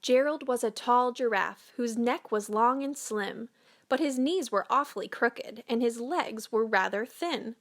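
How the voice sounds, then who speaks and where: Female picture-book narrator, slightly high voice, expressive, measured read-aloud delivery. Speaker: storyteller.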